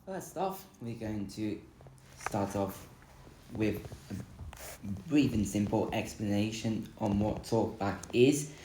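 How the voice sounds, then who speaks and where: Very deep-voiced, slow-talking woman explaining. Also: echoey room, background noise. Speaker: tech tutorial co-host. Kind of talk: casual explanation of a screen reader.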